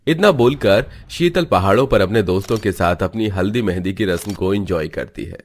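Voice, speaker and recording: A man's voice: fairly low pitch, moderate pace, slight background noise. A man giving scripted storytelling narration for a cartoon.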